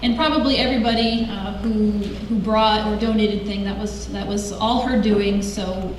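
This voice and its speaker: A woman archaeologist giving a talk to an audience, halting and full of fillers. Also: medium pitch, steady tone. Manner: halting, with many fillers and restarts. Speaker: archaeologist and guest speaker. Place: large echoey hall with an audience. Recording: echoey hall, voice from far off, crowd and noise behind.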